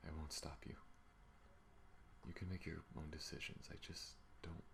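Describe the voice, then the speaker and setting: A man playing a protective spaceship pilot on the run in an intimate ASMR audio roleplay, speaking earnestly and haltingly. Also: extremely low pitch, little pitch movement, vocal fry, fairly bright tone, slight background noise, heavily acted. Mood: conflicted, tender.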